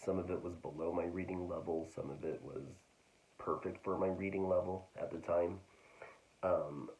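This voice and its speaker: A man casually talking through a book haul, hesitant and full of fillers, his voice getting raspy. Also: low-pitched, fairly even intonation, moderate pace, darkish tone, low-quality recording. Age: adult. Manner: hesitant, full of ums and restarts. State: getting raspy, clearing throat. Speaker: book vlogger.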